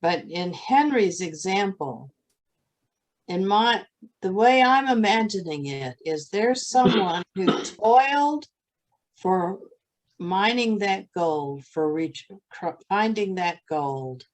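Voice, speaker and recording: A woman in a study group shares a personal reflection in a thoughtful way. She speaks very slowly at a middle pitch. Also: expressive, poor audio. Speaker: class member. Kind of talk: casual group discussion.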